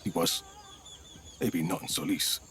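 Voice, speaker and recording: A man's voice: low voice, steady tone, a little slow. A man voicing a game's action hero, speaking tersely with dry determination. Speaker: game protagonist. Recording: game cutscene over loud score.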